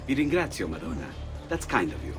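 A man playing an eager, courteous young Renaissance artist in a video game, expressive and musing, with an Italian accent. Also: deep voice, fast talker, over music. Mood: earnest, musing. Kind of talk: dramatic video game acting.

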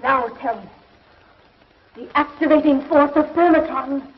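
A power-hungry villainess from a 1950s space serial. She speaks very slowly in a high voice, theatrical and menacing, on a dull old soundtrack. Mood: coaxing. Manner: theatrical, slow, deliberate, coaxing and menacing. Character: mad, power-hungry villainess bent on ruling planets. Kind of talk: 1950s television serial acting.

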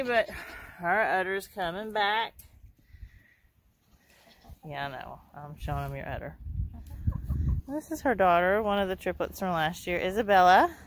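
Slow, chatty woman outdoors. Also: fairly low pitch. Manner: chatty, laughs softly, talks to the goats. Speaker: goat breeder. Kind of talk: casual farm tour vlog. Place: outdoor goat pen on a farm. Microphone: handheld camera.

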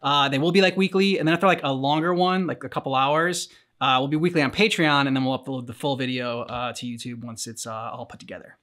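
A man's voice: mid-range voice, fairly even intonation, quick pace, few pauses, fairly bright tone. A man in his thirties chatting casually as a reaction host on a couch indoors. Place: living room couch, indoors.

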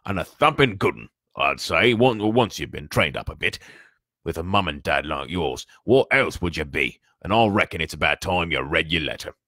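A man acts out a huge storybook giant with a forceful, booming growl and rustic, blunt speech. He is hot-tempered yet kindly underneath, and his pitch swings widely in a dry, close sound. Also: animated, moderate pace, dry recording, exaggerated voice. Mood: warm, proud.

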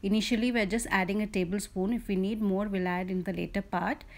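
A woman's voice: medium pitch, fairly even intonation, brisk. Indian-accented woman narrating a recipe. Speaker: host of a cooking channel. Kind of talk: casual step-by-step recipe narration.

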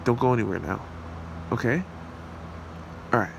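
A devoted, earnest young hero from a fan-made ASMR boyfriend roleplay voiced by a man, fry in the voice, room hum underneath. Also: medium pitch, normal speed, natural voice. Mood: tender. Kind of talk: amateur ASMR roleplay acting. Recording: steady hiss and hum behind voice.

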